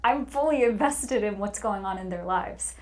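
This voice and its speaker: A woman's voice: medium pitch, normal speed. A woman talking casually and expressively as a voice actor guest on a panel. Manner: animated, expressive.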